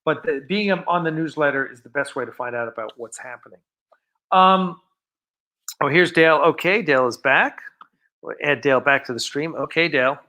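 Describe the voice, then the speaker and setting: A man hosting a casual, unscripted livestream, talking in a halting, rambling way and often interrupting himself. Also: fairly high pitch, pushed and bright. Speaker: livestream host.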